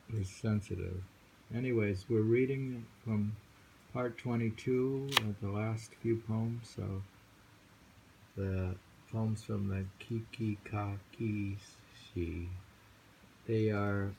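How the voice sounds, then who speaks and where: A man's voice: fairly low pitch, vocal fry, dark tone, a little hiss or hum, low-quality recording. A man reading poems aloud and musing on them in casual chat, with frequent thoughtful hums. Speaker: poetry reader and host.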